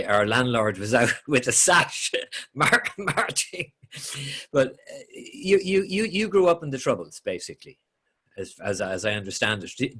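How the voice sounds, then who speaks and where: A man's voice: medium pitch, fairly bright tone, slightly rough. A middle-aged Irish man interviewing an author in a friendly way, often stumbling over repeated words. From Ireland. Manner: halting, repeats words as he starts.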